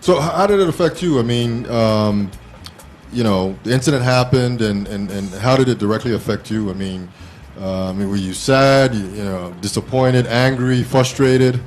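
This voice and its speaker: Halting man hosting, faint music behind. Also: mid-range voice. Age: 50s. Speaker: talk show host. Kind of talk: casual internet talk show hosting. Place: small internet show studio.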